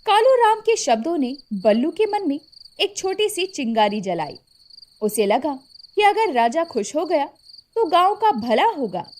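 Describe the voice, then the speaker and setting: A woman's voice: high voice, sing-song, a little slow, a little mellow, slight background noise. A woman narrating a scripted moral story as a storyteller.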